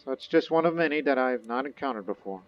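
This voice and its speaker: A man rambling through his gameplay commentary, thinking aloud and reading game text as he goes. His voice sits at an ordinary middle pitch. The audio is a little dull, with soft music underneath. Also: lively intonation, quick pace. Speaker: gaming video host.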